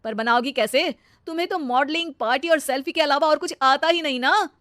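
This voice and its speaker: A woman voicing an exasperated young husband in a cartoon story, scolding forcefully with sarcasm. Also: high voice, a little fast, no room sound, clean studio sound. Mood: angry. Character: exasperated young husband standing up to his wife. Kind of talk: cartoon moral-story dialogue.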